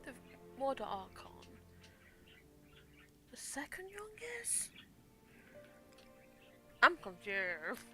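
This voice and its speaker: A woman's voice: high voice, huge pitch swings, extremely slow, long pauses, sharp tone. A woman making casual asides as she streams a game. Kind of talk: casual asides while playing. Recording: game music over the voice. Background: game music.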